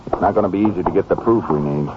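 Actor as a low, fast-talking American Ranger. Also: male voice, natural voice. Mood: measured, probing. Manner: clipped, level, authoritative. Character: stern, methodical male Texas Ranger investigator. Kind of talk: 1950s radio drama acting. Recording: muffled, noisy old radio recording.

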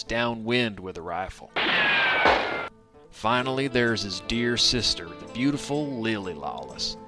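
Low, folksy Western narrator played by a man. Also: close to an everyday voice. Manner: folksy, wry, deadpan. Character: wry folksy male Old West storyteller. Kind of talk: scripted comic Western narration.

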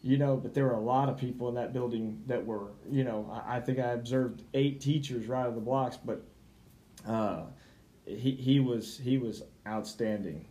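A man's voice: medium pitch, normal speed, warm tone, roomy sound. A man who was once a student teacher, reminiscing earnestly and filling his talk with "you know.". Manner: earnest, with repeated fillers.

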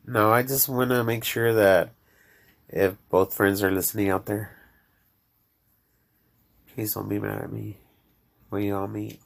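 Gravelly low Mexican-accented man. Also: fairly even intonation, a little slow, long pauses. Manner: rambling, addresses listeners directly. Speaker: podcast host. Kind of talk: casual chat.

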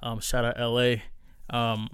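A man's voice: mid-range voice, completely flat delivery, unhurried, a bit bright, clear, resonant tone, dry recording, clean studio sound. A man from California hosting a podcast in casual chat, loose and talkative, with lots of fillers and restarts. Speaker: podcast host.

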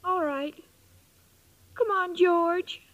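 A woman's voice: extremely high pitch, steady tone, extremely slow, heavily acted. A woman playing a naive, tender-hearted, scatterbrained young woman in an old-time radio comedy, with an innocent, plaintive delivery. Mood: meek. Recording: dull old radio recording, background noise.

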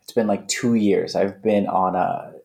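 A man's voice: low-pitched, steady tone, a little slow, vocal fry, a little mellow. A man in his late thirties from Afghanistan, a podcast guest talking casually with many restarts and fillers. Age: late 30s. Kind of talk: casual interview chat.

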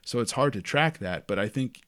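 A young man hosting a casual conversation, laying out his view in a measured way with "you know" and "I mean". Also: medium pitch, lively intonation, a bit bright, clear, resonant tone, no room sound. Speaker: podcast host. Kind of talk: casual chat.

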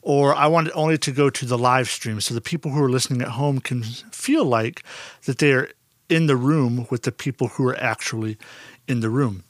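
A man with a naturally dark voice, giving a relaxed, conversational lesson with plenty of fillers and restarts. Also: medium pitch, a little fast, dry recording, clean studio sound. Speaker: audio instructor. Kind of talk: casual explanatory lesson.

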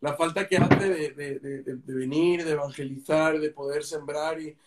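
Halting, explanatory man with a fairly high voice. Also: fairly even intonation. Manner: explanatory, halting with repeated fillers. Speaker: missionary. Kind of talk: casual conversation.

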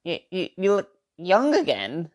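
A woman's voice: on the low side, huge pitch swings, unhurried, heavily acted. A woman voicing a grumpy, impatient elderly sister who snaps at her siblings and calls them names in an amateur radio drama. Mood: defiant. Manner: snappish, bossy, name-calling. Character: grumpy eighty-something sister, rude and impatient.

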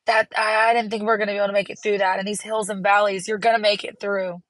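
A hoarse woman, hosting her podcast from a parked car in an earnest, encouraging way. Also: medium pitch, level tone, quick pace, dry recording. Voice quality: raspy. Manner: earnest, encouraging, rambling with restarts. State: hoarse from laryngitis. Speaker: podcast host. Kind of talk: casual solo podcast talk.

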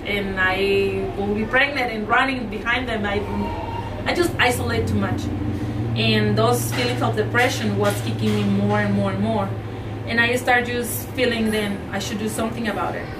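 A woman's voice: mid-range voice, fairly even intonation, moderate pace. A woman from Mexico in her thirties who speaks English with a Spanish accent and is a guest on an interview. She talks about herself in a chatty way, laughs often, and sometimes searches for words. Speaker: guest, entrepreneur and mother. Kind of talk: casual interview talk. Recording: echoey room, mic at a distance, background noise.

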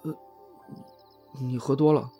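A man's voice: medium pitch, steady tone, takes it slowly, mellow, faint music behind, close to an everyday voice. A man playing a reserved, awkward, dutiful young man in an audio drama, speaking hesitantly and tersely.

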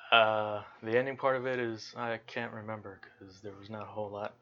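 A man casually explaining a game's backstory while playing, halting often with fillers and restarts. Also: fairly low pitch, little pitch movement, fairly bright tone. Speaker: gamer explaining a game's story. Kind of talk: casual gameplay commentary. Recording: muffled lo-fi audio with faint noise.

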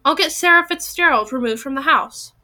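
A woman's voice: high voice, fairly quick, bright, forceful tone, pitched above the performer's own voice. A woman voicing a brash, defensive male lawyer in a story, clipped and argumentative. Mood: assertive.

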